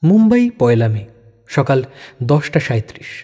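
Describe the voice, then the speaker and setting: A man giving a dramatic scripted narration of a suspense story, with deliberate phrasing. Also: mid-range voice, big pitch swings, warm tone. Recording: long reverb, background music.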